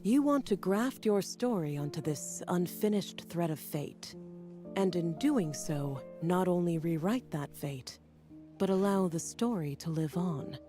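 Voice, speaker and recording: A woman voicing a shrewd, composed character in a video game, deliberate and articulate. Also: on the low side, lively intonation, mellow, soft background music. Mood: skeptical.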